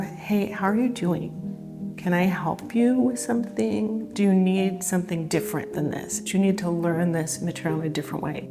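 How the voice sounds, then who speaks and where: Chatty woman, lowish voice. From California. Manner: chatty, relaxed, upbeat. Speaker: teacher. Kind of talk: casual interview talk. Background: soft guitar music.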